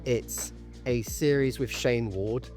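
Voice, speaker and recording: A young actor chatting on a podcast, candid and quick to poke fun at himself. His voice sits at an ordinary middle pitch for a man, with faint music behind him. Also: a little mellow. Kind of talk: casual podcast chat.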